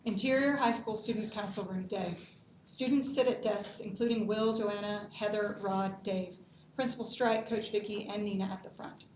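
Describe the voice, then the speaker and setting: A woman's voice: medium pitch, fairly even intonation, normal speed, a little mellow. A woman narrator at a table read, reading scripted stage directions. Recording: dull, echoey room with background noise.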